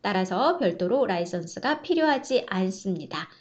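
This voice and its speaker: A woman's voice: mid-range voice, sing-song, moderate pace. A woman instructor narrating an e-learning course, explaining step by step in a polite way, on a slightly dull recording.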